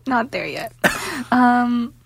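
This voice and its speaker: A woman's voice: on the high side, animated, creaky, dry recording. A young woman university student, a native Hindi speaker, answering interview questions in a cheerful, playful way. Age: young adult. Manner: cheerful, playful, quick replies. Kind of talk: casual interview chat.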